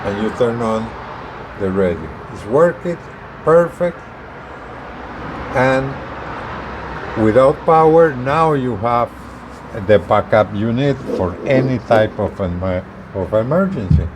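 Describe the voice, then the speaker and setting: A man giving a casual product demonstration as a video presenter, talking haltingly and repeating words as he explains. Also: mid-range voice, animated, background noise. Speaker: hobbyist video presenter, truck driver.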